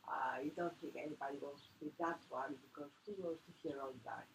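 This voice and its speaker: A man chatting casually, picked up quietly away from the microphone. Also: fairly high pitch, steady tone, brisk, vocal fry. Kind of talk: casual chat. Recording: noisy background, quiet off-mic voice.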